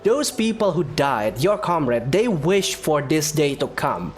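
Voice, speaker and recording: Low-pitched, animated woman gamer. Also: unhurried, faint music behind. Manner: blunt, informal. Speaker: gaming streamer. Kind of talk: casual gameplay commentary, reading player lines aloud.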